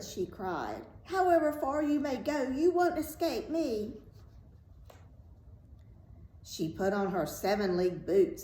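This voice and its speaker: A woman reading a fairy tale aloud as a storyteller, in a measured, dramatic and expressive way. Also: lowish voice. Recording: roomy echo, faint background noise.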